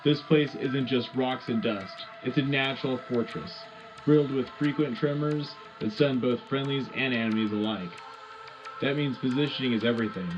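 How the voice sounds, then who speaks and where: Wartime radio newsman, played by a male actor: folksy patriot, rallying, mid-pitched, lo-fi sound over music. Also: moderate pace, lightly acted. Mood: rallying, confident. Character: upbeat propagandist male wartime radio news host. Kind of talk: scripted radio war bulletin.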